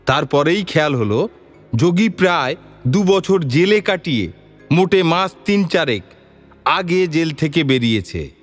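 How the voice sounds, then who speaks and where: A man narrating a scripted audio story in a measured, literary and expressive storytelling manner. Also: a little high, faint music behind.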